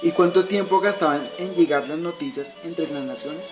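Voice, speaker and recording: Fatherly medieval mentor acted by a man, high male voice, explanatory scripted delivery, music clearly behind. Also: normal speed, natural voice. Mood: instructive. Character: fatherly medieval man patiently teaching a youth. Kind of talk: amateur educational radio play.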